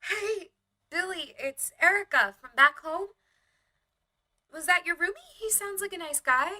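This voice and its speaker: A woman's voice: extremely high pitch, unhurried, sharp tone, full character voice. A woman playing a naive, eager small-town young woman in a motion comic, telling her story in a chatty, wry diary style. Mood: cheerful. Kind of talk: motion comic narration and dialogue.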